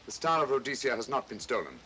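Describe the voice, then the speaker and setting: An actor playing a sharp, self-assured English detective, crisp and probing, in vintage mystery film style. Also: male voice, medium pitch, big pitch swings, fast talker. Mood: coolly assured. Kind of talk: 1940s mystery film acting. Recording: noisy vintage film soundtrack.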